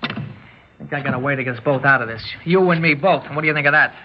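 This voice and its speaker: A man's voice: fairly high pitch, fast talker, a little hiss or hum, natural voice. An actor playing a cocky young small-time gunman in a radio drama, with brusque, slangy tough-guy talk. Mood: tense bravado. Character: cocky, uneducated young male small-time stickup man. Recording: dull old radio recording.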